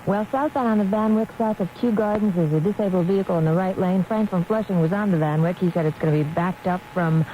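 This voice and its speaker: A woman giving a brisk traffic report on the radio. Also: medium pitch. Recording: muffled AM radio with faint static.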